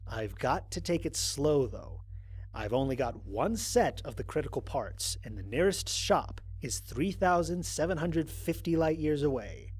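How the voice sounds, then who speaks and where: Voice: medium pitch, breathy edge. An actor playing a wry, exasperated astronaut stranded on an alien world, recording mission logs in an audio drama with dry sarcasm. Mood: exasperated, matter-of-fact. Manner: sardonic, dry, expressive emphasis.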